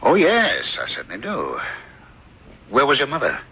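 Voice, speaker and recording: An actor playing an earnest, inquisitive country doctor who also narrates his story in a measured, theatrical radio drama style. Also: medium pitch, huge pitch swings, sharp tone, breathy edge, heavily acted. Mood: friendly, curious. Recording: dull lo-fi old radio broadcast.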